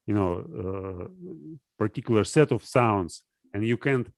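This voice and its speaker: Halting man. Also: lowish voice, a little mellow, no room sound. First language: Russian. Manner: hesitant, halting, searching for words. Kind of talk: casual philosophical discussion.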